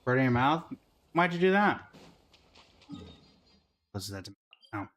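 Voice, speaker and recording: A man's voice: medium pitch, big pitch swings, measured pace, fairly bright tone, a little hiss or hum. A man doing casual livestream game commentary, talking in short remarks to himself and to the characters on his screen.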